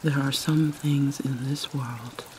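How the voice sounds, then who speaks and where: A woman playing an anguished, proud vampire mermaid princess in a dramatic ASMR roleplay, soft, breathy and halting, often near a whisper. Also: very deep voice, fairly even intonation, slight background noise. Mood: anguished, haunted. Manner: halting, theatrical, mournful. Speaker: roleplay voice actor.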